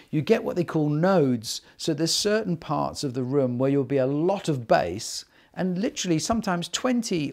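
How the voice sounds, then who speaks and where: A man's voice: fairly high pitch, vocal fry, a little mellow. A man in his sixties giving informal, conversational advice as a presenter, explaining with emphasis and voicing imagined thoughts. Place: medium-sized living room with wooden floor, indoors.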